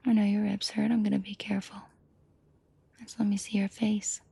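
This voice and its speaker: A woman playing a commanding, protective and seductive mafia boss in an intimate audio roleplay, speaking in a breathy, controlled murmur that often drops to a half-whisper. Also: medium pitch, warm tone, no room sound, full character voice. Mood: tender, protective. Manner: slow, deliberate, controlled murmur addressed closely to listener.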